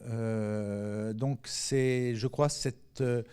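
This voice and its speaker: A man with a dark, mellow voice of average pitch talks as a priest on a panel. He is modest and reflective, often pausing and starting his phrases again. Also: steady tone, clear, resonant tone. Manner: modest, hesitant, halting. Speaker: Orthodox priest, panel guest. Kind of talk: reflective personal talk.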